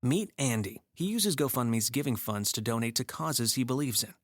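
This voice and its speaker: A man's voice: medium pitch, expressive, moderate pace, no room sound, clean studio sound. A man reading scripted ad narration as an announcer. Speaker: advert announcer. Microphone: close studio microphone.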